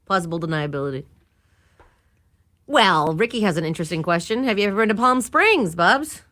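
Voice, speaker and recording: A woman with a fairly low voice reads listener greetings on morning radio. She keeps the tone upbeat and laughs easily, cueing segments from the studio. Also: lively intonation, fairly quick, a bit bright. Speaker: radio studio producer and co-host. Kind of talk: casual morning radio banter.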